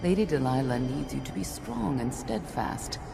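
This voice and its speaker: Very deep-voiced, assured witch. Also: expressive, vocal fry, over music, heavily acted. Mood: assured, soothing. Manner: measured, assured, reassuring. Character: calm older-sister witch, devout, quietly menacing confidante. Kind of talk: dramatic game voice acting.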